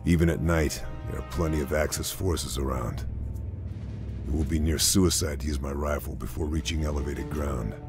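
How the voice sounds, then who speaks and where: A man playing a grim, calculating lone army sniper who narrates his mission in a measured, restrained way. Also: extremely low pitch, fairly even intonation. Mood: grim, focused. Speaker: protagonist narrator. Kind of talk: scripted mission briefing narration. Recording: music behind the voice.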